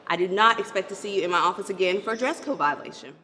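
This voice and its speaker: A woman talks as a school administrator in a scripted sketch. She speaks quickly at a middling pitch. The sound has long reverb. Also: bright, forceful tone. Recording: echoey school hall, faint noise.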